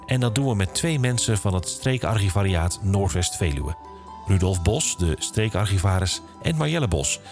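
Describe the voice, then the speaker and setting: Guy the hosting a podcast, lowish voice, friendly and informal, bit of music. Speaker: podcast host. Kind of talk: conversational podcast interviewing.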